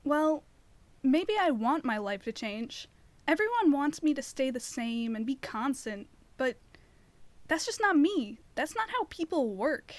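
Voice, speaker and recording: A woman playing an earnest, curious, determined young woman in an audio drama, narrating and talking in a chatty, expressive way. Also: sits high, measured pace. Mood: earnest, questioning.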